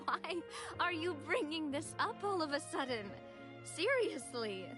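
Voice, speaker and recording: A woman playing a sincere, big-hearted young gentlewoman in an anime video game, with an expressive delivery. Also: high-pitched, unhurried. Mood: playful, fond. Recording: background music under dialogue.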